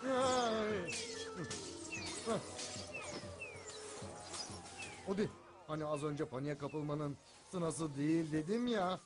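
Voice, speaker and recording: Cocky cartoon cat over music. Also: male voice, high-pitched. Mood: panicked. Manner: wry, self-assured, theatrical. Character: cocky, sarcastic, food-loving male cartoon cat. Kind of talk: animated cartoon dub. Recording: background music under the voice.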